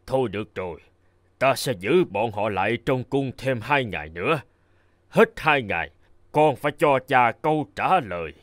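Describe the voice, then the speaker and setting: A man plays an aging fairy-tale king for a cartoon. He is proud and fatherly, declaiming in a stately way with a very lively intonation. The sound is dry and close. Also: mid-range voice, normal speed, no room sound, natural voice. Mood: calm, authoritative.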